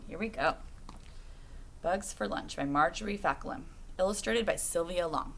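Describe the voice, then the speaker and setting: A woman's voice: lowish voice, moderate pace, gravelly, fairly bright tone, a little hiss or hum. A woman reading a picture book aloud to children like a teacher, phrasing expressively and putting questions to her young listeners.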